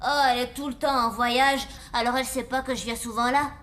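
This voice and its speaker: A woman voicing a bold, curious human schoolgirl who gets excited easily, in a cartoon. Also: on the high side, fairly quick, close to an everyday voice. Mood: calm. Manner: eager, excitable. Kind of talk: cartoon voice acting. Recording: cartoon soundtrack with faint music.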